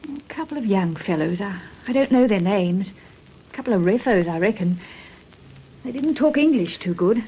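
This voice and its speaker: A woman's voice: medium pitch, lively intonation, normal speed, breathy edge, dull audio. A woman playing a blunt, chatty outback petrol-stop keeper with an Australian accent, matter-of-fact and colloquial, in a radio drama. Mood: blunt, dry. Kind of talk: radio detective drama acting.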